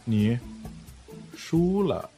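A man playing a calm, doting, teasing lover in a Chinese costume audio drama, speaking gently and unhurriedly. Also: medium pitch, very slow, creaky, soft background music, full character voice. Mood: amused, teasing.

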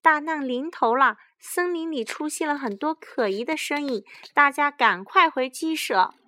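A flustered auntie hen from a children's storybook, played by a woman. She shouts in panic at a high pitch, alarmed and urgent, recorded close and dry. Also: moderate pace, no echo. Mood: panicked.

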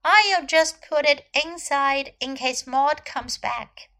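A woman's voice: high-pitched, slow, pushed and bright, voice pushed up, exaggerated voice. A woman voicing a proud, dramatic young girl in a storybook, speaking emphatically and theatrically. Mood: pretending.

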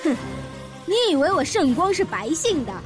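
A woman's voice: very high voice, very animated, normal speed, loud music behind the voice. A woman voicing a fiery, proud orc mother in a fan audio drama, imperious and declamatory. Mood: indignant.

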